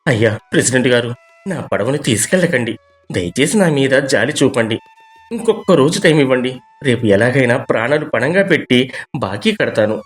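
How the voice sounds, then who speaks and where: Animated fable role: man as struggling fisherman father, earnest, heartfelt and pleading, medium pitch, light music bed. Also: moderate pace, close to an everyday voice. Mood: begging. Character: poor, desperate, kind-hearted fisherman, devoted father. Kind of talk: animated moral story dialogue.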